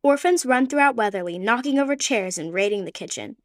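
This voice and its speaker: A woman's voice: a little high, lively intonation, brisk, dry recording, clean studio sound. A woman reading scripted narration for an adventure radio play.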